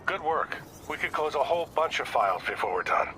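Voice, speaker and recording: A man's voice: on the high side, big pitch swings, fairly quick, sharp tone, a little hiss or hum. A man playing a weary, earnest older police detective nearing retirement in a video game, explaining things in a matter-of-fact way. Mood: businesslike. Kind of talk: video game voice acting, conversational.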